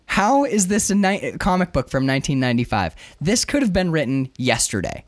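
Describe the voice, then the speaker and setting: A man's voice: on the high side, big pitch swings, fairly quick, dry recording. A man co-hosting a comic book discussion in an emphatic, opinionated and sometimes profane casual style.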